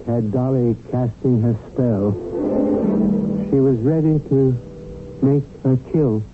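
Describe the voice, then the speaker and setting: An actor playing an earnest, anxious, proper guardian angel in a radio drama, loyal and fretful. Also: male voice, mid-range voice, slow, lightly acted. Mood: fretful narrating. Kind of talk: radio drama acting and first-person narration. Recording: tinny radio broadcast with music.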